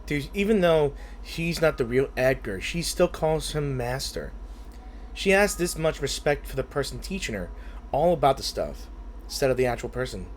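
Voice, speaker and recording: A man's voice: medium pitch, animated, moderate pace, a little hiss or hum. A man hosting a gaming video with casual, informal, reactive commentary on a playthrough.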